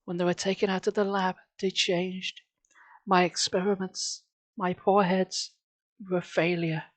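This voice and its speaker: A reader voicing a sad, bitter male mask-shop owner who speaks softly and slowly, in a storybook reading. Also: medium pitch, level tone, no echo, pitched above the performer's own voice, lightly acted. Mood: sad, hushed. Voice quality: soft, hushed.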